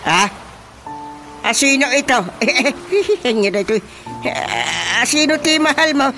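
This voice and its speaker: A flustered village chairman in an Ilocano-accented radio comedy. He stammers and sputters in slow, wildly swooping exclamations, easily tickled. Music plays underneath. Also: extremely high pitch, sing-song, creaky, sharp tone, exaggerated voice. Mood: curious, animated. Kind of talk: comic radio drama acting.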